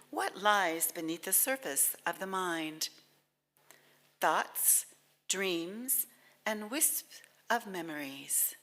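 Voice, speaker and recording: Slow, bright-voiced woman leading prayer. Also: medium pitch. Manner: reverent, deliberate, with long pauses. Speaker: reader and prayer leader at a church service. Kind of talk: inspirational reading and spoken prayer.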